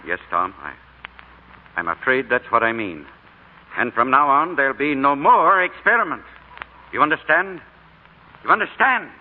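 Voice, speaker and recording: A man's voice: mid-range voice, lively intonation, moderate pace, pauses often, slight background noise, close to an everyday voice. Man playing stern father, tinny old radio. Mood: grave, firm. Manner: firm, authoritative. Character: stern, unyielding middle-aged father laying down rules. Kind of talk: 1940s radio drama acting.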